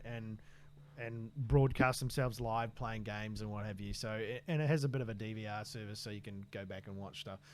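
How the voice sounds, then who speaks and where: A man co-hosting a tech podcast in an easygoing, casual chat full of fillers and restarts. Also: medium pitch. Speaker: podcast co-host.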